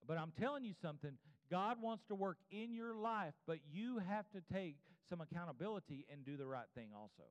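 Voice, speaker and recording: A man's voice: sits high, animated, brisk, few pauses. A man in his fifties preaching a church sermon, speaking earnestly and directly to his congregation in repeated, exhorting phrases. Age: 50s (52). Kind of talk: evangelical church sermon with altar call. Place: church service before a congregation. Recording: muffled, reverberant large room.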